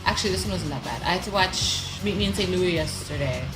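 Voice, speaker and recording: A woman's voice: fairly low pitch, lively intonation, fast, roomy sound, music in the background, poor audio. A young woman from Hawaii with a local accent, chatting on a podcast and laughing often. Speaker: podcast co-host. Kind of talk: casual chat.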